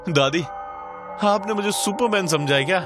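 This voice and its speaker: A young boy from a village cartoon, acted by a man, speaks in an extremely high voice over clear background music. His delivery is dramatic and pleading, like a child who is easily frightened. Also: normal speed, lightly acted. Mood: calm, childlike. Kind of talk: Hindi cartoon voice acting.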